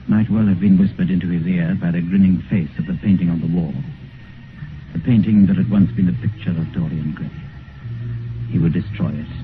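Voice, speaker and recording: A man as the grave storyteller of a Gothic tale, narrating solemnly and deliberately in 1950s radio style. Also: deep voice, lightly acted. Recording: noisy, muffled 1950s radio recording.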